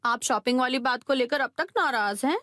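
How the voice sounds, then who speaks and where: A woman voicing an excitable, touchy young cartoon mother, melodramatic and pouty, with music behind her. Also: high-pitched, fairly quick, natural voice. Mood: hurt, indignant.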